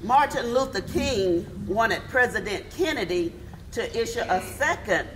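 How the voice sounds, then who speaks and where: A woman's voice: mid-range voice, lively intonation, unhurried, lightly acted. A woman on stage playing an earnest, affirming storyteller in scripted readers theatre with emphatic call-and-response delivery. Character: female storyteller of civil rights history, earnest, affirming. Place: live stage performance. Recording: live stage, clear background noise.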